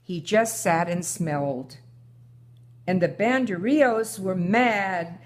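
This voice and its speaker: An older woman, a mother reading a children's story aloud in a measured, deliberate way. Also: on the low side.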